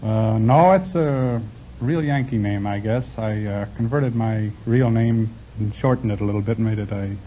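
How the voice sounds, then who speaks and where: A man's voice: lowish voice, moderate pace, warm tone. A man of thirty from the Netherlands, a quiz contestant giving plain, modest, slightly hesitant answers. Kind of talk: casual interview answers. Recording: tinny old radio recording, faint noise.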